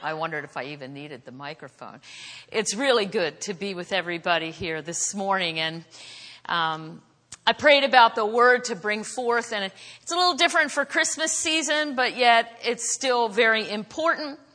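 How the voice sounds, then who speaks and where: A woman preaching a sermon in a church, with a naturally loud, earnest voice that she says hardly needs the microphone. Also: medium pitch, expressive, moderate pace. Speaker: guest preacher. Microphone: handheld or stand microphone. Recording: church hall reverb.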